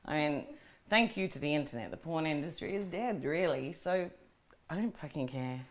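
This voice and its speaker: A woman's voice: deep voice, expressive, normal speed. An actress in her forties playing a profane, panicky woman who scolds herself and talks herself through her fear, short of breath. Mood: defiant. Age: 40s. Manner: swears heavily, talks to herself. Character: frazzled forty-year-old woman, profane, self-scolding. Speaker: adult content creator. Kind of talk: dramatic monologue.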